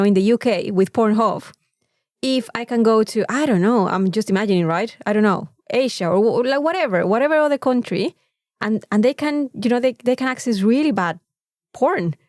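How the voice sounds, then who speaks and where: A woman's voice: fairly high pitch, darkish tone, no echo. Mexican woman chatting in a dry-sounding space. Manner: informal, rambling, sweary. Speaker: podcast co-host. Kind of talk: casual chat.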